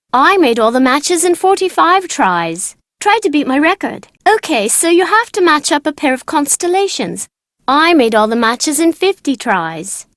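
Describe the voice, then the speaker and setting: Game child role: smug, moody young girl, high voice, very lively swoops, dry studio sound. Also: no room sound. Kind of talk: scripted video game dialogue.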